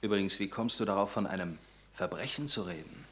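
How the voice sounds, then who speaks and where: An actor playing the commanding leader of an underground group who urges a wavering friend to keep quiet in a radio play. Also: male voice, sits low, brisk. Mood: urgent. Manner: urgent, commanding, hushed then sharp.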